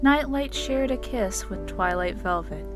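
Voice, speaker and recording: A woman's voice: medium pitch, lively intonation, unhurried. A woman narrator reading a children's-style story as a scripted dramatic reading. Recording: music under the voice.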